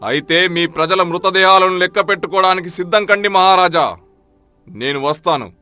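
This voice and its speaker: A man's voice: sits high, moderate pace, bright, forceful tone, no room sound, faint music behind. A man playing an arrogant, scheming grain merchant in a folk-tale drama, haughty and mock-polite as he makes threats. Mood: haughty bargaining.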